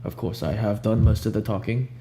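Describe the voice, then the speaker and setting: A young man improvising a soft-spoken ASMR roleplay as a cool, ominous recruiter, talking in a casual, blunt, unhurried way over hiss and hum. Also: on the low side, fairly even intonation. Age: young adult. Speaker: ASMR roleplayer playing a job interviewer. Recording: audible background hiss and hum.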